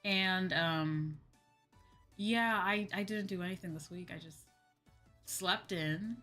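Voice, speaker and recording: Bright-voiced woman chatting on a livestream. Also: on the low side, takes it slowly. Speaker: VTuber livestream host. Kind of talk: casual livestream chat. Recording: background music behind voice. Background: music.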